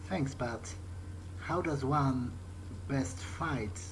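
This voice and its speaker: A man's voice: mid-range voice, very slow, a little hiss or hum, poor audio. A man role-playing his character in a video game playthrough, thinking aloud in a hesitant, musing way. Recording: loud game music behind voice. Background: game music.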